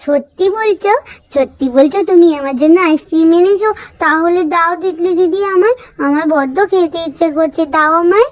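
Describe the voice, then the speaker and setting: A woman's voice: extremely high pitch, brisk, pitched above the performer's own voice. A woman voicing a demanding, chatterbox little girl doll in a story, with a whiny, pouty, childish manner. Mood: eager, pleading.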